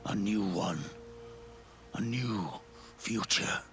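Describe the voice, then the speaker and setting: An actor playing a weary, dying old soldier in a video game cutscene, solemn and halting, with a weak, breathy rasp. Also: male voice, fairly low pitch, a bit bright. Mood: solemn, resigned. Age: older. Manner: slow, halting, solemn, with long pauses. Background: orchestral music.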